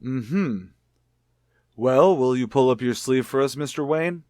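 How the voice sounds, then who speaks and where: An actor playing a calm, persistent police lieutenant in a radio detective drama, formal and politely insistent. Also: male voice, medium pitch, a little slow, dry recording, studio quality, lightly acted. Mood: businesslike. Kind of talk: old-time radio detective drama acting.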